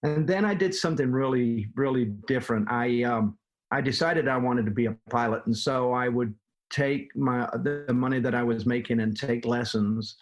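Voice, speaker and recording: A man's voice: mid-range voice, normal speed, soft background music. An older man from England with a Manchester accent, a guest telling his story at length in a casual interview, with many fillers and restarts. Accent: Manchester English. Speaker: guest, former plumbing business owner.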